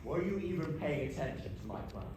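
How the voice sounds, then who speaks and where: A man's voice: a little high, very animated, brisk. A man playing a pompous, exasperated villain wizard in a comic stage pantomime, declaiming grandly. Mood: scheming. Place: stage in a hall with live audience. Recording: echoey theatre, distant mic, noisy.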